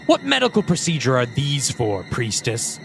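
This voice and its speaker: A man's voice: mid-range voice, wildly swooping pitch, normal speed, creaky, music in the background. A man voicing a sneering demon preacher. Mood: preaching. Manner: taunting, theatrical. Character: mocking male demon possessing a priest.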